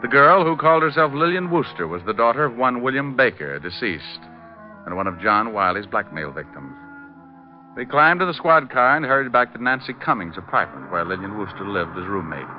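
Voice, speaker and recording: An actor playing a cocky, glib private detective who teases and narrates wryly, in 1940s radio drama style. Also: male voice, mid-range voice, sing-song, brisk, lightly acted. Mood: dry narration. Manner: glib, wry, teasing. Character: wisecracking cocky male private detective, breezy and flirtatious. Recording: muffled old radio drama, music behind.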